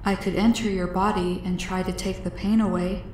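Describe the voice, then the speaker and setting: A woman voicing a gentle, curious, wistful male alien in a story, with slow, measured, formal phrasing, over a noisy background. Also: medium pitch, level tone, echoey room, lightly acted. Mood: concerned.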